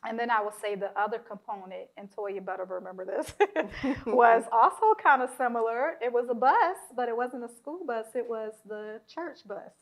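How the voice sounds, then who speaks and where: A woman's voice: on the high side, normal speed. A woman hosting a casual podcast chat, asking questions and sharing her own memories with many fillers. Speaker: podcast host.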